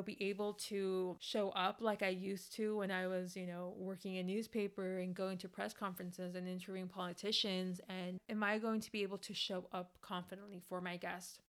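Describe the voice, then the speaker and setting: A woman's voice: mid-range voice, gravelly. A young woman, a native Spanish speaker with an accent, hosting her podcast and talking in an earnest, encouraging way with many fillers. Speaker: podcast host. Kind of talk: casual solo podcast talk.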